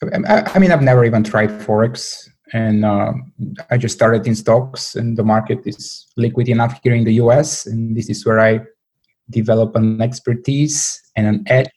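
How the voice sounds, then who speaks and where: A man from Bulgaria, a portfolio manager answering interview questions in a hesitant way, with many pauses and restarts. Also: medium pitch, a little mellow, slightly rough.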